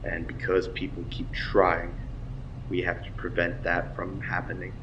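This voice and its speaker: A man playing a solemn father in his thirties, speaking measured words in a recorded message in an audio drama. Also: sits low, monotone, dull audio. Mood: grave, earnest. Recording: distant, noisy recording.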